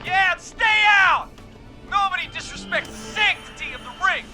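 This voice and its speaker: A man acting an excitable, loyal roller rink defender in a video game, emphatic and drawing out his exclamations. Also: very high voice, loud music behind the voice. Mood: angry, shouting. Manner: loud, emphatic, drawn-out exclamations.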